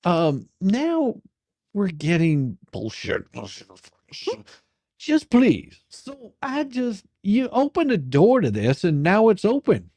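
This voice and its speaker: Casting: performer as exasperated folksy attorney, badgering and sarcastic, very animated pitch, dry close recording. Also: slow, no echo, heavily acted. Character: pushy, folksy, exasperated attorney questioning a witness. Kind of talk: dramatic reading of a deposition transcript.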